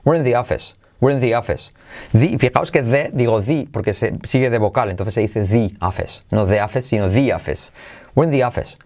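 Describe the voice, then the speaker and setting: A man leads a lively pronunciation lesson. He says phrases slowly, repeats them again and again, and throws in playful asides between drills. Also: medium pitch. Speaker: English teacher.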